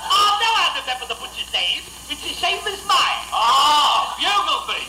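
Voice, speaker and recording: A comedy performer playing a cheeky, bantering vaudeville act in an old radio sketch, with exaggerated music-hall delivery and shouted punchlines. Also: sits high, big pitch swings, normal speed, exaggerated voice. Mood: cheeky, boisterous. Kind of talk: 1930s-40s Australian radio comedy sketch. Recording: noisy, echoey old radio recording played in room.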